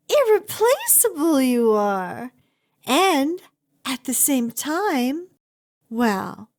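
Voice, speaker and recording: Woman doing a clingy yandere kidnapper for asmr rp, cutesy n menacing, super slow, voice swoops all over. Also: fairly high pitch, heavily acted. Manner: gushing, cutesy, theatrical, halting. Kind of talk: ASMR roleplay acting addressed to the listener.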